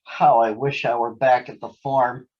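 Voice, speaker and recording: A man's voice: mid-range voice, flat delivery, unhurried, lo-fi sound, natural voice. A man reading a children's storybook, voicing a polite, shy and wistful young male fox with an earnest manner.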